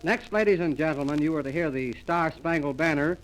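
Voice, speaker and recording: Male radio comedy host, high-pitched, mock-formal, on an old 1930s transcription disc. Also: brisk, soft background music, poor audio. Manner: mock-formal announcer delivery.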